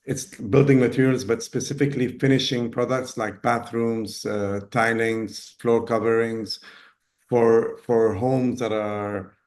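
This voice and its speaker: A man from Ghana in his fifties, talking as an interview guest, pausing often with fillers and restarts. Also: medium pitch, flat delivery, a little mellow. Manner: hesitant, many fillers and restarts. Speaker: guest, business owner. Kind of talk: casual interview talk.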